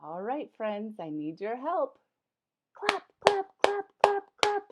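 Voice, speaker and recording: A woman teacher reading a picture book aloud to young children, addressing them directly in an expressive, emphatic way. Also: high-pitched, slow pace, background noise. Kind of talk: animated children's storybook read-aloud.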